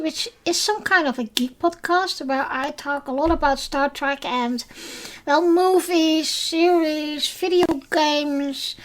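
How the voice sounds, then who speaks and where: Woman, high voice, hesitant, lots of fillers, podcast recap host. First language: Dutch. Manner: hesitant, many fillers and restarts; simple non-native phrasing. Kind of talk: casual episode recap.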